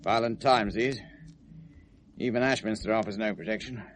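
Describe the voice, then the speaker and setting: An actor in a 1970s British radio drama playing a genial, chatty older shopkeeper, hearty and clipped, with an English accent. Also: male voice, fairly low pitch, normal speed, fairly bright tone, close to an everyday voice. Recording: faintly noisy vintage radio recording.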